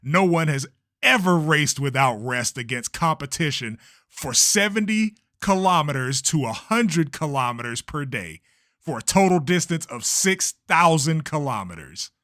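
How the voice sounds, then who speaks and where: A blustering older race promoter from a comic dub, acted by a man, with a high voice that swings widely. He is theatrical and bossy, stressing his words like a showman. The tone is bright and forceful. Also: lively intonation, unhurried, dry recording, clean studio sound. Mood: grand, showy. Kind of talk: comic-book dub voice acting.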